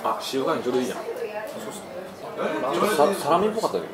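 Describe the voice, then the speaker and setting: An animated man with a slightly high voice talks casually while eating. His speech is slangy and blunt. He is recorded in an echoey restaurant with loud clatter around him. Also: very fast, a loud crowd. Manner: informal, blunt masculine slang. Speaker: food vlog host. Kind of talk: casual chat over a meal. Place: small restaurant room, indoors.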